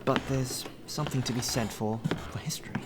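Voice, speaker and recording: A man's voice: mid-range voice, fairly even intonation, brisk, close to an everyday voice. A man playing a polite, deferential butler in an ASMR roleplay, with formal, flowery phrasing. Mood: admiring. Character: courteous, well-spoken male butler, deferential and appreciative. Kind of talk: ASMR roleplay acting, addressed to the listener. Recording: rain ambience and clear background noise.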